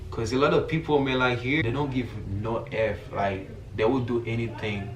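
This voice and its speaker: A man's voice: medium pitch, fairly even intonation, moderate pace, crowd noise. A young man from Haiti with a Haitian accent, talking casually and earnestly to viewers in informal, slangy speech. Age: young adult. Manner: informal, slangy, repeats filler phrases like "you feel me". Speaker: vlogger. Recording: roomy echo, distant mic, faint noise.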